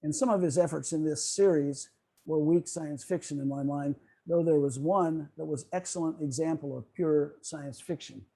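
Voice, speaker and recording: A man giving a prepared, explanatory lecture in a measured way on a video call. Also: fairly high pitch, gravelly, dark tone. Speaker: presenter.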